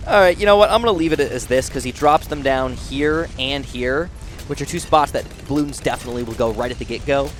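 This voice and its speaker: A young man with a nasal voice giving rambling, excitable commentary while playing a video game. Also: medium pitch, a little fast, soft background music. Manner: rambling, excitable, thinks aloud. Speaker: gaming channel host. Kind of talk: casual gameplay commentary.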